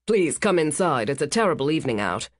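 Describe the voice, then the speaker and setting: A woman voicing a big, cheerful naval commander who talks loudly and jokes wryly, in a dry close recording. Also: deep voice, big pitch swings, fairly quick, no room sound. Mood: welcoming. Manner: loud, hearty and wry.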